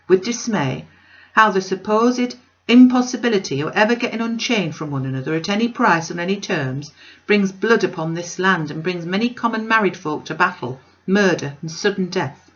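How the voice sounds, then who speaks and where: A woman's voice: mid-range voice, expressive, moderate pace, lo-fi sound, lightly acted. A woman voicing an earnest, distressed working-class male weaver who speaks in broad dialect, in a storybook reading. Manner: earnest, broad Northern English dialect speech.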